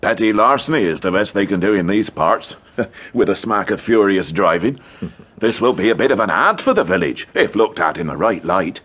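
An actor playing a chatty, deferential village police sergeant in a radio detective drama. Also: male voice, on the low side, animated, moderate pace, fairly bright tone, slightly rough, heavily acted. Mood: helpful, amused. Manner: chatty, deferential, full of sirs.

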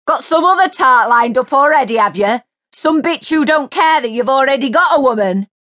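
A woman's voice: fairly high pitch, measured pace, no room sound, studio quality, pitched above the performer's own voice. Enraged shrieking woman, read by a woman narrator, storybook. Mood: screaming. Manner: shouting, screeching, foul-mouthed. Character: furious woman, shrieking at her cheating partner.

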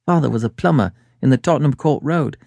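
A man voicing a nervous, chatty, good-humoured young Englishwoman client in a storybook, gushing on in excitable run-on sentences. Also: mid-range voice, quick pace, creaky, dry recording, clean studio sound.

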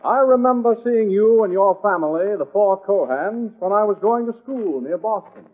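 An actor playing a genial, stately President with measured, drawn-out patrician delivery in a 1940s radio drama. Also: male voice, high-pitched, animated. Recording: muffled old radio broadcast.

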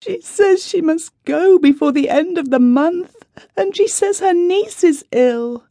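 A woman's voice: very high-pitched, unhurried, warm tone, no room sound, studio quality, voice pushed up. A woman reading aloud and voicing a fretful young wife who is upset and complaining, in a plaintive tone. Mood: tearful. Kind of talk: storybook reading.

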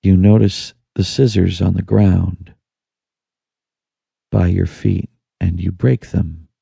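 A man narrating a guided meditation in a soothing, hushed manner with long pauses. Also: low-pitched, fairly even intonation, creaky, husky, dry recording. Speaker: audiobook narrator.

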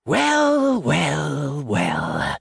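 A man voicing a pompous, needy, menacing jackal trickster in an audiobook, theatrical and drawn-out, with a raspy, gravelly voice. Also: lowish voice, wildly swooping pitch, no room sound, clean studio sound, full character voice. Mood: sneering. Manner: theatrical, drawn-out grandiose threats, sudden petulant outbursts. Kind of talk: audiobook character voice.

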